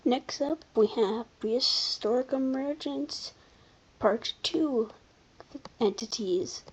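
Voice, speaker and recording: A commentator giving casual, unscripted video commentary in an informal, halting way, often backtracking. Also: medium pitch, husky, rough recording. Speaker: video creator presenting a ranking.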